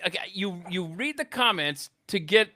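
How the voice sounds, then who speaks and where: A man's voice: sits high, lively intonation, normal speed, noisy background. A man hosting a casual podcast, exclamatory and incredulous as he banters in a studio. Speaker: podcast host.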